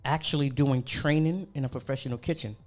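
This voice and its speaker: A young man from New York, a cook, chatting casually and animatedly with slang and quick asides. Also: medium pitch, fairly even intonation, faint music behind. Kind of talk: casual chat.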